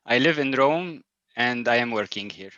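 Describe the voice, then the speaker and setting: A man from Lebanon co-hosting an online event, giving quick, practical directions and switching among English, Arabic and Italian. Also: medium pitch, animated, sharp tone, no room sound. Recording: dry, dull lo-fi sound.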